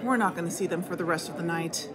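A woman's voice: lowish voice, quick pace, a loud crowd, natural voice. A woman playing a blunt, sarcastic amateur investigator in a conversational audio drama. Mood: exasperated, blunt.

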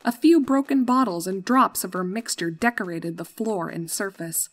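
A woman's voice: medium pitch, normal speed. A woman reading scripted fan-fiction story narration as the narrator. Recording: music under the voice.

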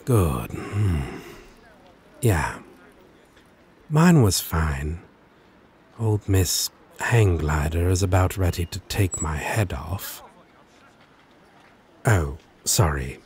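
A male actor voices a lovesick demon in an intimate ASMR roleplay. His low voice moves very slowly and hesitantly, yet swings expressively as he flirts and puts himself down. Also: darkish tone, slight background noise, exaggerated voice. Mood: playful, teasing. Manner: halting, hesitant, with long pauses.

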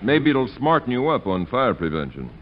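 A man's voice: mid-range voice, very animated, normal speed, lightly acted. An actor playing an earnest, exasperated fire inspector with an American accent, the straight man in 1940s radio comedy. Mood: earnest, insistent. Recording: noisy, muffled 1940s radio recording.

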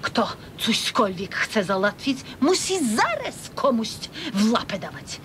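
Bossy older village wife, podlasie accent, kinda high voice, radio play w/ rumbly noise. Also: female voice, wildly swooping pitch, a little slow, fairly bright tone, heavily acted. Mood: lecturing. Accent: Podlasie, eastern Polish. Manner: folksy, lecturing. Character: bossy talkative older Polish housewife, folksy and opinionated. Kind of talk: radio drama acting in rural dialect. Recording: rumbly, noisy radio recording.

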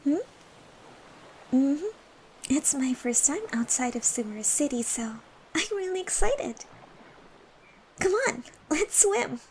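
A woman plays a gentle, cheerful young dancer in an ASMR comfort roleplay. Her voice is high, soft and breathy, and she speaks slowly with caring encouragement. Faint music and ambience sit behind her. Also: expressive, slight background noise, exaggerated voice. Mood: relieved, excited.

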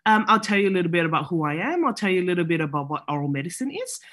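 A woman's voice: on the low side, sing-song, very fast, fairly bright tone. A woman in her thirties from Singapore, with an accent shaped by later years in Australia, giving a friendly, explanatory slide talk as a guest specialist over a video call, with frequent ums. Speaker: oral medicine specialist guest speaker. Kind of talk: online presentation with slides.

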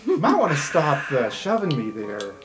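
A man voicing a shifty goblin janitor in a tabletop game, evasive and quietly menacing, with folksy, wheedling talk. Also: fairly high pitch, huge pitch swings, a little slow, over music. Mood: testy.

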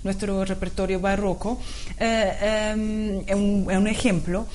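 A young woman guest speaks into a lapel mic on a TV studio set, picking her words with care. Her pitch sits mid-range and she fills gaps with soft hesitations. A faint hiss lies under the sound. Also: little pitch movement, unhurried. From Portugal, raised in Belgium. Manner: thoughtful, hesitant, searches for words with many fillers. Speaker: classical guitarist guest. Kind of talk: studio TV interview.

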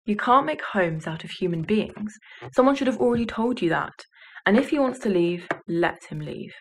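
A young woman reciting a poem in a measured, serious manner, recorded in a bedroom. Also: mid-range voice, lively intonation, a little hiss or hum. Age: teenager or young adult. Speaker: student poetry reader. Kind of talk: poetry recitation.

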